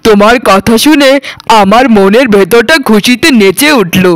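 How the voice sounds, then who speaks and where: A man's voice: sits high, normal speed, no echo, lightly acted. A man voicing a proud, doting but harsh landlord father in a theatrical, declaiming cartoon style. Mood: delighted. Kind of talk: dramatic cartoon voice acting.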